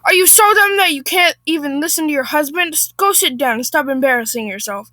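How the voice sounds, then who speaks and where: Story role: woman as mischievous man, mock-angry, scornful, emphatic, high voice, dry recording. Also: lively intonation, fairly quick, pushed and bright, no room sound, heavily acted.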